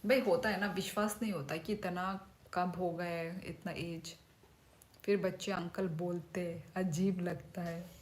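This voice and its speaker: A woman's voice: lowish voice, fairly even intonation, measured pace. A woman in her thirties, a native Kokborok speaker, chatting casually with viewers on a livestream and reading their names aloud. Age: 30s. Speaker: livestream host.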